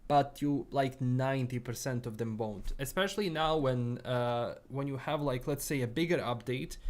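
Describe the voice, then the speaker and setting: A young man with a Bosnian accent talks loosely on a live stream, his thoughts wandering with frequent fillers and restarts. His pitch sits in an ordinary middle range. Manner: rambling, many fillers and restarts. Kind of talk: casual livestream chat, reading chat.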